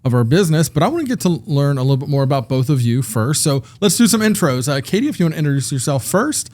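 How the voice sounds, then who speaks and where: A man's voice: a little high, big pitch swings, quick pace, darkish tone. A man hosting a podcast interview in a studio, talking in a friendly, conversational way with some fillers. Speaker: podcast host. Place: recording studio.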